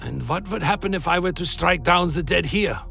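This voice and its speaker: A man playing a brooding vampire who tells his tale in a grave, deliberate, dramatic way, as scripted gothic radio play narration. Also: sits high, animated, vocal fry, pushed and bright, heavily acted. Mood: wary, questioning. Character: Alaric the Damned, brooding male vampire telling his tale. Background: music.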